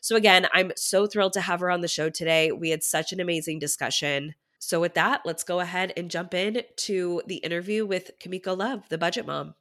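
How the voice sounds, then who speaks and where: A woman's voice: fairly low pitch, fairly even intonation, a little fast, few pauses, a bit bright. A woman money coach hosting a podcast, sounding stuffy from a head cold and talking with chatty enthusiasm to her listeners. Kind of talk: upbeat podcast intro monologue.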